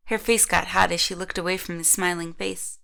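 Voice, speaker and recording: A woman's voice: lowish voice, steady tone, a little fast, creaky, bright, forceful tone, clear, resonant tone. A woman reading scripted narration for an audio drama, telling the story in the third person.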